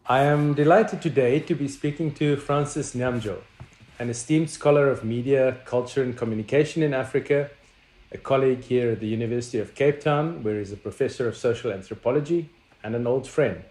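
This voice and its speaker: A man hosting an interview, reading a prepared introduction and asking questions in a measured way. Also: mid-range voice, darkish tone. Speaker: interviewer, media professor. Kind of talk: interview host. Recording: echoey room.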